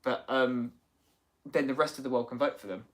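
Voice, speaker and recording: A man's voice: mid-range voice, fairly even intonation, normal speed. A man hosting a casual livestream chat, reading out viewer comments in a rambling, chatty way. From United Kingdom. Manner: chatty, rambling, many fillers and restarts. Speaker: livestream host.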